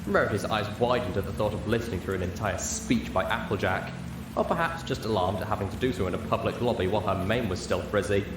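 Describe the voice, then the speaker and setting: A man reading a story aloud as narrator, in an expressive scripted style. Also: lowish voice, fast talker. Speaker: audiobook narrator. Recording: roomy, echoey, clear background noise.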